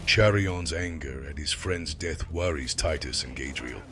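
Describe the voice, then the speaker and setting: A man's voice: a bass voice, unhurried, pushed and bright, a little hiss or hum. A man narrating a scripted story as a voice-over, with cinematic music behind him.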